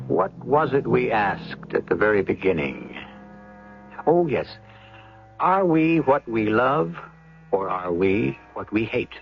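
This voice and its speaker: A muffled old radio recording with faint music carries an American man as host. His gravelly voice rises and falls widely in portentous, theatrical narration with deliberate pauses. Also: medium pitch, very animated.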